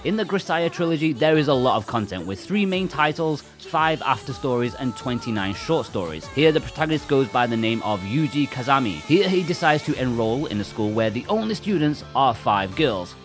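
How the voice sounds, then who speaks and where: A man's voice: mid-range voice, sing-song, moderate pace, few pauses. A man co-hosting a video game review, reading a script in an informal, chatty manner. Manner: informal, chatty, uses slang. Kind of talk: scripted review narration with casual asides. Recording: music behind the voice. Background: background music.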